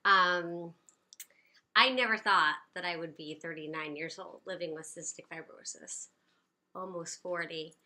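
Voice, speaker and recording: A woman's voice: on the low side, unhurried, bright, forceful tone. A woman in her late thirties from the United States, telling her own story in an upbeat, chatty way with plenty of fillers. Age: 30s. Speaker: personal storyteller. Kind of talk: casual personal storytelling to camera.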